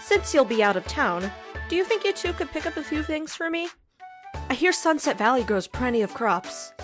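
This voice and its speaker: An actress playing a friendly, courteous creature who keeps a cafe in an audio drama. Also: female voice, high voice, sing-song, moderate pace, music in the background. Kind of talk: audio drama character acting.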